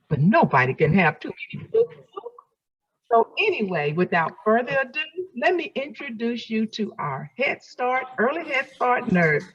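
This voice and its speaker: Expressive, husky woman hosting. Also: on the high side. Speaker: host introducing a guest reader. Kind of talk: informal spoken introduction on a video call. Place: remote video call. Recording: muffled, dull video call audio.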